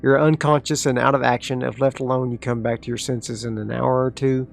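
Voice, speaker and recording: A man playing a solo tabletop game aloud, reading rolls and rules and reacting with excited asides. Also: medium pitch, brisk, vocal fry, faint music behind. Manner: talks through dice rolls, excitable asides. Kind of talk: solo actual play, thinking aloud.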